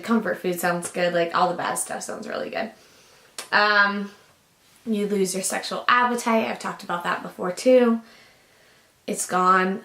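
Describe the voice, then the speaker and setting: Young woman vlogger, casual and rambling, groggy raspy voice from a lingering cough, mid pitch, echoey room. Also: a bit bright. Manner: chatty, rambling, lots of likes and ums. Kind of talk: casual chat to camera.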